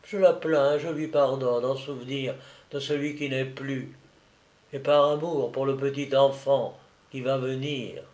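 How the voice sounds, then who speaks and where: A man reading aloud, voicing a gentle young woman who speaks tenderly through grief. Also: medium pitch, fairly even intonation, a little slow, natural voice. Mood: sorrowful. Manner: tender, pleading. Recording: echoey room.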